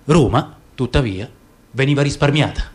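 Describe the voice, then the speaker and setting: A man's voice: mid-range voice, normal speed. A man giving a dramatic, theatrical reading of literary prose as the narrator of a radio theatre piece. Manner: expressive, theatrical storytelling.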